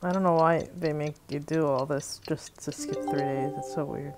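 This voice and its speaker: A woman's voice: low-pitched, fairly even intonation, normal speed. A woman giving casual, offhand commentary while playing a video game, with music behind her. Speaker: gameplay walkthrough player.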